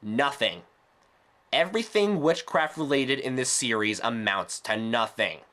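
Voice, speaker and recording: A man's voice: mid-range voice, expressive, measured pace, fairly bright tone, a little hiss or hum. A man delivering a scripted ranting review in a sarcastic, exasperated, emphatic way. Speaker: video reviewer.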